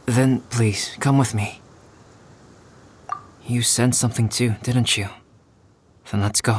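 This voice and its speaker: A man playing a calm, reserved young alchemist in a video game, explaining things in a measured, articulate, thoughtful way. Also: mid-range voice, slight background noise, close to an everyday voice. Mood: calm, reflective. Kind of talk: scripted video game dialogue. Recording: music playing behind the voice.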